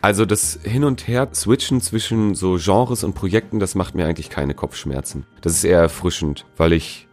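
Interviewee: man, notably low voice, casual colloquial delivery, faint music in the background. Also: normal speed, few pauses, a little mellow. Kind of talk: casual chat, answering interview questions. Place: at home.

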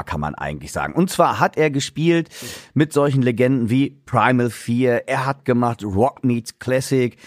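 A man's voice: mid-range voice, no room sound, studio quality. A man hosting a casual podcast interview, chatty and loose, with many fillers and restarts. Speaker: podcast host and drummer.

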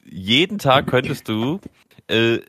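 A man's voice: mid-range voice, very animated, slow, pushed and bright, no room sound. A man co-hosting a podcast, talking eagerly and slangily in casual chat and prompting his partner. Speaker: podcast co-host.

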